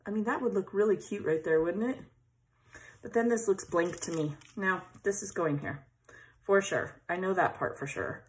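A woman's voice: medium pitch, normal speed, a little hiss or hum. A woman hosting a casual scrapbooking video, chatting and thinking aloud as she arranges pieces at her craft table. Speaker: scrapbooking channel host. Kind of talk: casual craft tutorial chat. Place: craft table at home, overhead camera on hands.

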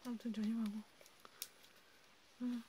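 Soft, mellow woman playing a vampire healer, noisy recording. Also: on the high side, flat delivery, measured pace, close to an everyday voice. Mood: calm, concerned. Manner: hushed, casual, drifting into whispers. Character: female vampire healer, calm, caring toward a wounded friend. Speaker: ASMR creator. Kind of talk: soft-spoken ASMR role play.

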